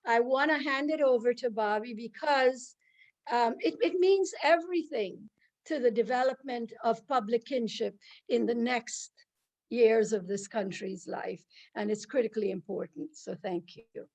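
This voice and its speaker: A woman from Guyana hosts a live online talk. Her voice sits a little high, and she speaks earnestly, with frequent restarts as she looks for the right words. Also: unhurried, darkish tone. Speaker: host introducing a speaker.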